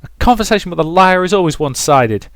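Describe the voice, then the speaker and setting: A man voicing an angry, hurt young woman with a sharp, accusing manner in a visual novel, over music. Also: sits high, animated, fast talker, dry recording, clean studio sound, voice pushed up. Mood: bitter. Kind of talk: visual novel character reading.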